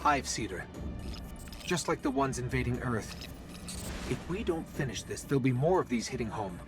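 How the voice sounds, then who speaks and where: An actor voicing a small, eager robot companion in a video game, anxious and curious, with an expressive, urgent delivery. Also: male voice, mid-range voice, moderate pace, close to an everyday voice. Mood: grave. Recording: loud music behind.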